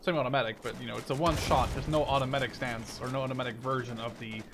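A man's voice: mid-range voice, steady tone, quick pace, noisy background. A man giving casual, rambling gameplay commentary, informal and given to mild swearing. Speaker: gamer and video host.

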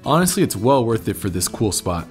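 A man's voice: on the low side, big pitch swings, fairly quick, faint music behind. A man in his thirties narrating a road-trip travel video, giving viewers practical tips in a scripted voice-over. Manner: informative, recommending tips to viewers. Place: voice-over recorded apart from footage.

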